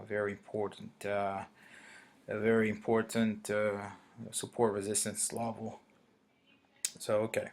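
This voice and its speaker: A man from Brazil, a native Portuguese speaker with a Brazilian Portuguese accent, walks through a currency trade at his computer in a hesitant manner, pausing often and restarting sentences. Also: on the low side, creaky. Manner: hesitant, many fillers and restarts. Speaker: forex trader. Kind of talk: screen-recorded trading walkthrough.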